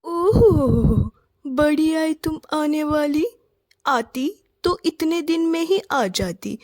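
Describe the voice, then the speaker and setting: A shrill, scornful village mistress in a cartoon story, as a woman puts her on, high-pitched and taunting. Her lines come slow and dry, close to the mic with no room around them. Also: animated, a little mellow, no room sound, exaggerated voice. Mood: scornful scolding. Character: haughty scolding village mistress, middle-aged woman. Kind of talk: Hindi cartoon voice acting.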